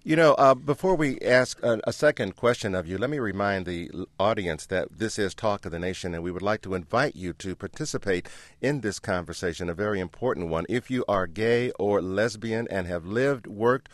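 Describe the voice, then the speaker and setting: A man hosting a public radio call-in show, asking his questions in a measured, deliberate way from a studio microphone. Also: on the low side, no room sound. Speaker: radio talk show host.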